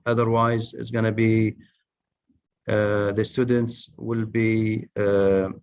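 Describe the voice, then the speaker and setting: Halting man lecturing over muffled audio. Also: on the low side, level tone, smooth. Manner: halting, many fillers and restarts, non-native phrasing. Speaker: webinar speaker on research methods. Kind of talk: lecture to postgraduate students.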